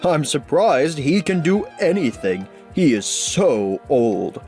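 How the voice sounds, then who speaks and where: A man's voice: a little high, big pitch swings, takes it slowly, darkish tone, faint music behind, heavily acted. A man voicing a pompous, self-important male steam engine in a children's storybook, dismissive and scornful.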